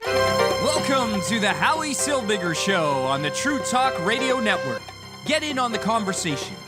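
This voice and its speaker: An announcer reads a station promo briskly, with a mid-range voice that rises and falls a lot. Loud bagpipe music plays behind the voice throughout. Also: medium pitch, big pitch swings. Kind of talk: recorded show promo.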